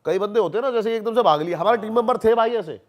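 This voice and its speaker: Male podcast guest, slightly high voice, colloquial storyteller who repeats phrases for emphasis and drops in English words. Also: fast talker, muffled recording. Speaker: podcast guest, haunted-place investigator. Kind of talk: animated casual storytelling on a podcast.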